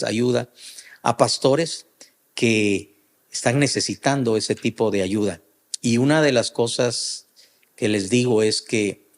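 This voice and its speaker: Man, middle-aged, pastor and interviewer, mid-range voice, measured short phrases, conversational. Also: medium pitch, gravelly. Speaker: pastor and podcast host.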